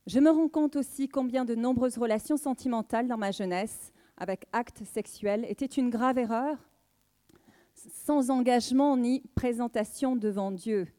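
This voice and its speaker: Female, late 30s. Slightly high pitch, dark mellow tone; earnest, measured read of a personal faith testimony. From southwest France. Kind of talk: prepared spoken testimony.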